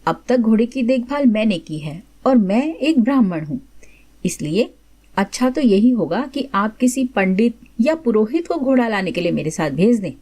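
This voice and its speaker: A woman's voice: on the high side, big pitch swings, moderate pace, mellow. A woman voicing a wily courtier in a folk tale, politely pleading with feigned innocence. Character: wily, deferential male Brahmin courtier.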